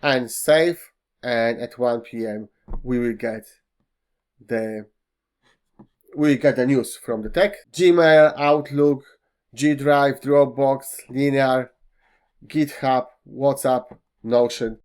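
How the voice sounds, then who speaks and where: Slow, hesitant man demoing software. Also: mid-range voice, fairly even intonation, clean tone. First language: Polish. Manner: hesitant, many fillers, non-native phrasing. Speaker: tech tutorial host. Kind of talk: screen-recorded software walkthrough.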